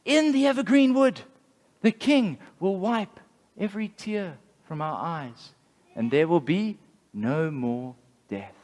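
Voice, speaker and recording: A man's voice: high-pitched, animated, slow pace, darkish tone, long reverb tail. A man playing a burdened, weary traveler. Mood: earnest. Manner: earnest, straining.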